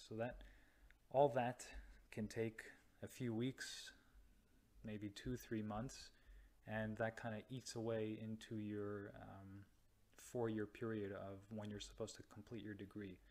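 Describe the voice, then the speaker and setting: A young man from North America, a radiology resident, explaining slides slowly with many pauses and restarts. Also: fairly low pitch, darkish tone. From United States or Canada. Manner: slow, hesitant, many ums and restarts. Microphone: voice-over to slides.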